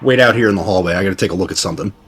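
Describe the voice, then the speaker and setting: A man's voice: low voice, racing, fairly bright tone, husky. A man chatting casually as a player in a tabletop role-playing game, describing what his character does. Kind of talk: casual in-game roleplay chat.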